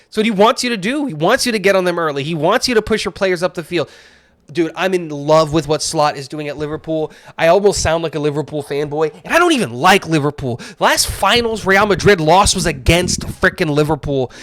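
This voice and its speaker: A man co-hosting a casual sports podcast, talking in animated, opinionated bursts with plenty of fillers. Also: on the high side, a little fast, no echo, studio quality. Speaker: podcast co-host.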